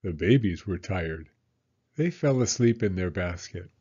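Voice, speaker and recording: A man narrating a children's story as a storyteller, in a measured, expressive manner. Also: low voice, husky, muffled recording.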